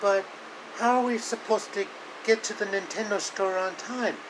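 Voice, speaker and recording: A cheerful little girl from a storybook, voiced by a man. The homemade recording carries a loud, steady hum and hiss. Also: high-pitched, moderate pace, pitched above the performer's own voice, lightly acted. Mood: eager.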